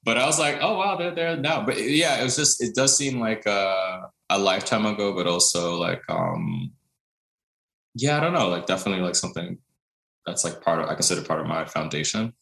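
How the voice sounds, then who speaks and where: Deep-voiced Brooklyn man in an echoey room. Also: sing-song, a little fast, vocal fry, a bit bright. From Brooklyn, New York. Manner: chatty, many fillers, laughs often. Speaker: guest, contemporary dancer. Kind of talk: casual interview storytelling.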